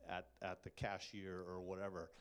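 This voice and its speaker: A man's voice: sits low, completely flat delivery, normal speed, clean tone. A man co-hosting a casual faith talk, speaking thoughtfully and searching for his words.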